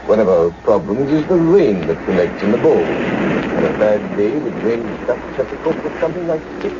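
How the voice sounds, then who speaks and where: A man's voice: mid-range voice, very animated, moderate pace, lightly acted. A man acting as a genial senior astronomer in a radio play, explaining expansively and lyrically. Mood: hospitable. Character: genial senior radio astronomer showing visitors round, eager and uneasy. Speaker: research scientist. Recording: noisy, dull radio drama recording.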